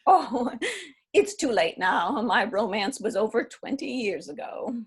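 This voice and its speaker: A woman's voice: on the high side, animated, a little slow, slightly rough, full character voice. A woman playing a wistful, formal divorcée. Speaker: reader in a play reading. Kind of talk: amateur readers' theatre acting.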